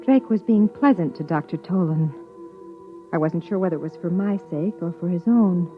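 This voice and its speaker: An actress playing an uneasy, introspective wife narrating a 1950s radio drama. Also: female voice, lowish voice, natural voice. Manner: introspective, measured. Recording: tinny old radio broadcast with music.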